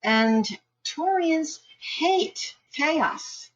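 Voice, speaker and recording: A woman giving live psychic astrology readings online, chatty and quick to laugh, with frequent restarts. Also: sits high, lively intonation, dull audio.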